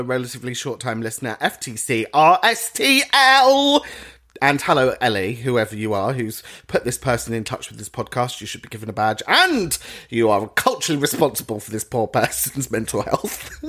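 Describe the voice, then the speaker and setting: Male podcast host, lively pitch, jokey and profane. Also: moderate pace. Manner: swears a lot, jokey, swings pitch widely for effect. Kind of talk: casual chat reading voicemails.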